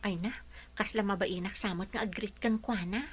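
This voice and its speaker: A woman's voice: medium pitch, fairly even intonation, a little fast, husky, lightly acted. A woman playing an earnest, grateful young student teacher with a Filipino accent in an audio drama. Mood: shy, friendly. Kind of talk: Ilocano audio drama acting.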